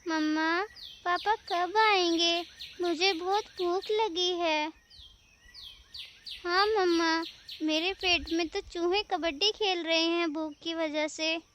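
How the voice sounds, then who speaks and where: A tiny cartoon chick, pleads in an extremely high, childlike voice. The words come slowly, sweet and anxious. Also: female voice, fairly even intonation, fairly bright tone, a little hiss or hum, full character voice. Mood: pleading. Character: little baby birds, hungry, sweet and anxious. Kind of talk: children's cartoon story acting.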